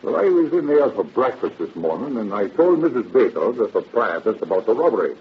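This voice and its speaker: An older night watchman in an old radio drama, talkative and eager to help. His voice is very rough and husky, swinging up and down in a folksy, hesitant drawl. The recording is dull and muffled. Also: on the low side, big pitch swings, brisk, full character voice. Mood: confiding.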